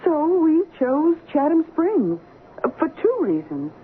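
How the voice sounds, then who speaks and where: High-pitched, fluttery coaxing mother. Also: sing-song, slow pace, full character voice. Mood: hesitant reassurance. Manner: hesitant, soothing, evasive. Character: anxious, coaxing middle-aged wife and mother. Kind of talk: 1970s radio drama acting. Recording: muffled lo-fi radio recording with hiss.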